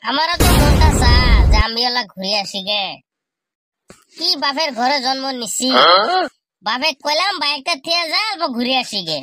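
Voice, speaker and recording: High-pitched whiny cartoon grandson. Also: male voice, expressive, moderate pace, no room sound, clean studio sound, full character voice. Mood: whiny, grumbling. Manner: complaining, slangy, mouthy. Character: whiny, cheeky broke young grandson, single and grumbling. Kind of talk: amateur comic cartoon dubbing. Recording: loud music behind the voice.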